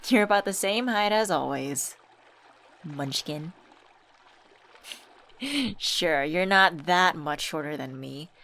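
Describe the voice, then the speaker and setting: A woman's voice: medium pitch, fairly bright tone, full character voice. A young woman acting as a teasing, affectionate old friend in a casual ASMR comfort roleplay. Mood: playful teasing. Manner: playful, teasing, familiar slangy chat.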